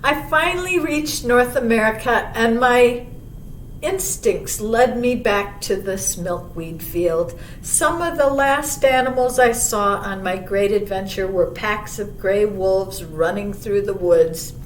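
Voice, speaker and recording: A woman voicing a gentle, wise old male monarch butterfly in a storybook, telling a child of travels with unhurried wonder. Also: lightly acted. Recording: roomy, distant mic, faint rumbling noise.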